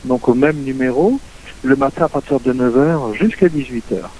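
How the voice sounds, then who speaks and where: A man working as a hotel receptionist on the phone, formal and brisk. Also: medium pitch, mellow. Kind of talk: phone customer service. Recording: muffled phone line with hiss.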